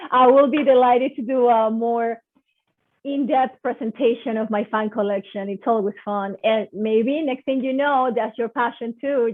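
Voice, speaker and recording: A woman with a Venezuelan Spanish accent talks with eager, expressive enthusiasm about her passion. Her voice sits slightly high. The audio is tinny and narrow, like a phone call. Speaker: guest performer. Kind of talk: casual online presentation.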